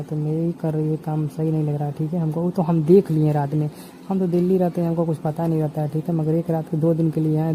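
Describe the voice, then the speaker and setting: This is a young man, speaking informally over the phone. His delivery is even and insistent, circling back over his phrases. Clear noise runs through the call recording. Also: fairly high pitch, low-quality recording. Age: 20s. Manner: insistent, repetitive.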